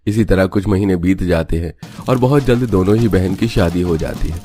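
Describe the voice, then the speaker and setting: A man's voice: low voice, normal speed, dark tone. A man narrator reading a scripted family story for a cartoon video.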